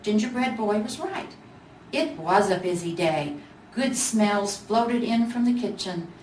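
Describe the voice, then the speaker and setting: A woman's voice: mid-range voice, lively intonation, measured pace, darkish tone. A woman with an American accent narrating a children's storybook as a volunteer reader. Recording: echoey room, distant mic, faint noise.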